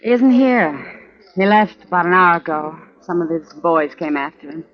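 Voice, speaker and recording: A woman's voice: on the low side, expressive, moderate pace, natural voice. An actress as an anxious frontier saloon woman. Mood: worried. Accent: American. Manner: earnest, concerned. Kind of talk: 1950s radio western acting. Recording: muffled vintage radio, music behind.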